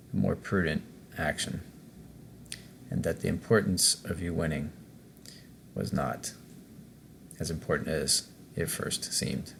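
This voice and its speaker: A man narrating a guided meditation as its host, in a measured way, pausing between short phrases. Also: low voice, vocal fry, a little hiss or hum.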